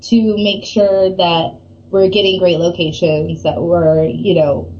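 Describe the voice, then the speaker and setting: A woman's voice: mid-range voice, a little mellow, muffled recording. A woman of about thirty, a guest, answering interview questions thoughtfully and correcting herself as she goes. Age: 30s. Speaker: guest, paranormal investigator.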